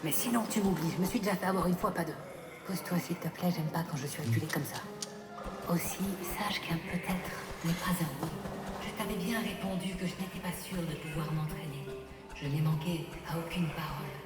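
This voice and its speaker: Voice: mid-range voice, fairly quick, natural voice. A performer voicing a frail young pegasus, nervous yet defiant, in a French audio fantasy drama. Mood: indignant. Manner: nervous stammer turning indignant. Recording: roomy echo under loud music.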